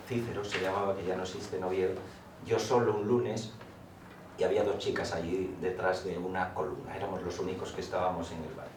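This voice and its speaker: An older man from Asturias with a Castilian Spanish accent, a poet talking in a reflective, digressive, conversational way. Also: low-pitched. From Asturias, Spain, in Madrid from age eight. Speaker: poet, guest speaker. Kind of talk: conversational storytelling with recited verse. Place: school classroom, talk to teachers. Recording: echoey room, distant mic, noisy.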